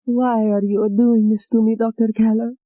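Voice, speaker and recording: A woman's voice: fairly high pitch, little pitch movement, moderate pace, no echo, narrow, tinny audio, pitched above the performer's own voice, natural voice. A woman voicing a frightened young woman alter who pleads. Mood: pleading.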